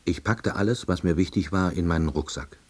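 A melancholy, jobless husband in a radio drama. His voice is very low and slightly husky, and he narrates in short, deadpan diary sentences full of dry self-pity. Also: a little fast. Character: lonely, melancholy, laid-off East German husband, drily self-pitying. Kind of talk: scripted first-person narration.

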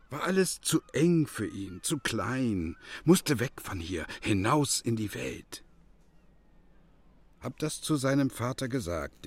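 A wistful, stubborn old village teacher in a radio drama. His voice is husky and unhurried, swinging widely from emphatic outbursts to hushed asides. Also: lowish voice, full character voice. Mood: wistful, insistent. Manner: emphatic, broken phrases, sudden hushes. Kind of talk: radio drama acting, storytelling.

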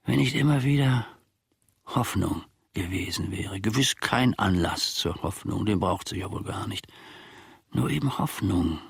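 An older actor playing an embittered old manservant in a radio play monologue, brooding and querulous, theatrical and halting, with a husky, gravelly voice. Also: male voice, deep voice, no room sound, clean studio sound, full character voice. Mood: bitter brooding. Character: embittered old manservant settling scores with his philosopher master.

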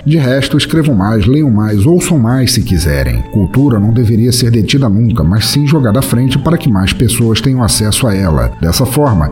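A man's voice: mid-range voice, darkish tone. A Brazilian man speaking as a podcast host, chatting informally and chummily with his listeners in casual commentary. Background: music under the voice.

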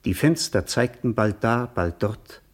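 A man acting as the detached, observant narrator of a literary radio play, reading poetic description in a measured, composed way. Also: lowish voice, expressive.

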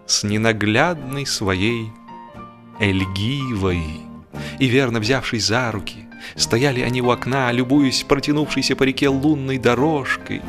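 A man narrating a humorous literary story expressively, in a theatrical, measured way with drawn-out emphasis. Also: fairly low pitch, fairly bright tone. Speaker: audiobook narrator. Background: music.

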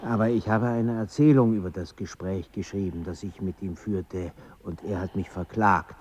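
A man's voice: low voice, normal speed, a little mellow, a little hiss or hum, close to an everyday voice. Man playing a reflective son, slightly muffled. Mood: subdued, tender. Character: grieving son, foreign traveller recalling his father's death. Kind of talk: radio drama acting. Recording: slightly dull sound.

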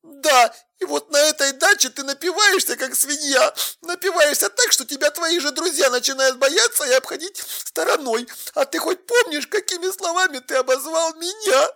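A woman's voice: high voice, expressive, moderate pace, bright, forceful tone, exaggerated voice. A woman playing a hurt young girlfriend in an audio drama, tearful, reproachful and emphatic. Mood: angry, reproachful.

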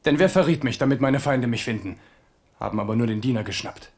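A man's voice: mid-range voice, quick pace, lightly acted. An actor playing a bold, boyish, impulsive warrior king in a theatrical 1970s German radio drama style. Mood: ardent. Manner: theatrical, swinging between hushed and ringing delivery.

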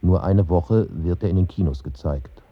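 A man's voice: low voice, moderate pace, phone-quality sound, very noisy. A man narrating a German radio play in terse, factual chronicle phrases.

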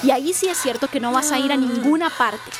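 An actress playing a sensible, sardonic friend in a radio soap, chiding and matter-of-fact. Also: female voice, sits high, brisk, over music, close to an everyday voice. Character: sensible, sardonic female friend calming her companion.

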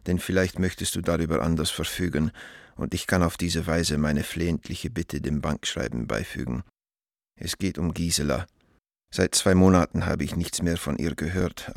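An anguished middle-aged letter writer in a radio drama. His voice is very low and recorded close and dry. He speaks formally and gravely, pleading with an old friend in measured, urgent phrases. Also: no room sound. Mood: desperate, dread.

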